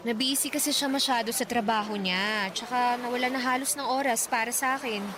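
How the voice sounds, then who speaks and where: Woman playing a soft-spoken girlfriend in a radio drama, pleading, kinda high, noise behind her. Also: fairly even intonation, a little fast, lightly acted. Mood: earnest. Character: young woman, troubled girlfriend, affectionate. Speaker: young woman in a love story. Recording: clear background noise.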